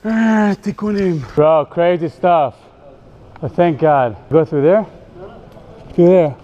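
A man's voice: a little high, slow pace, muffled recording. A young man filming a jokey handheld travel vlog, laughing and chattering as he moves through airports. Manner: excitable, laughing, joking. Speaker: vlogger. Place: airports and a train. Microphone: action camera held close.

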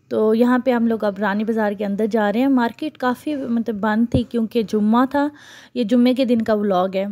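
A woman's voice: a little high, dark tone, dry recording. A young adult woman, chatting casually as she narrates her own vlog. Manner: chatty, conversational, with frequent fillers. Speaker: vlogger.

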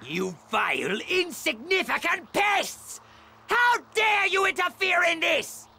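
An actor playing an arrogant, cruel alien tyrant in an anime game, with a raspy, haughty, theatrical delivery. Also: male voice, very high-pitched, very animated, slow pace, slight background noise, exaggerated voice. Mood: haughty menace. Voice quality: raspy, strained. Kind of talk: villainous anime game voice acting. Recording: very loud music over voice.

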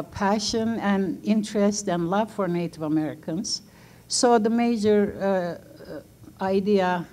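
A woman's voice: medium pitch, darkish tone. Chatty Turkish-born woman panelist. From Turkey. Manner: chatty, laughs often, frequent fillers. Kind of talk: personal storytelling on a panel. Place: museum auditorium stage. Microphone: table mic on stand.

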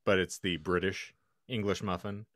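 Low-voiced man with florid phrasing. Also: steady tone, moderate pace, fairly bright tone, dry recording, clean studio sound. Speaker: podcast co-host. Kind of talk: casual, joking podcast banter. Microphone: close podcast microphone, video call.

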